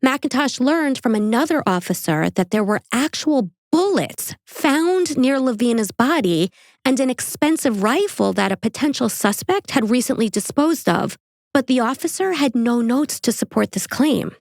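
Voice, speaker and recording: A woman's voice: on the high side, expressive, moderate pace, no room sound, clean studio sound. New York woman hosting true crime. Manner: casual, joking asides, swears. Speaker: true crime podcast host. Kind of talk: true crime narration with asides.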